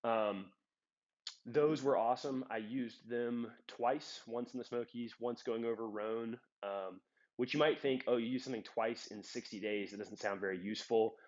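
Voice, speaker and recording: A man's voice: medium pitch, moderate pace, dull audio. A young man with a slightly stuffed-up voice, talking casually and rambling through his hiking gear with lots of ums, filmed on a phone. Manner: relaxed, rambling with many ums. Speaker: hiking vlogger. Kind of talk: casual gear talk to camera. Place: in a trail town. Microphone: phone on a trekking-pole clip.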